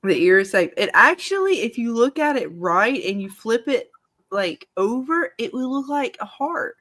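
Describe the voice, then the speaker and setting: A woman's voice: a little high, big pitch swings, normal speed, a bit bright, no echo. A woman hosting a live call-in discussion. She talks in an enthusiastic, rambling way and giggles often. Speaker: show host. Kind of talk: casual live panel chat.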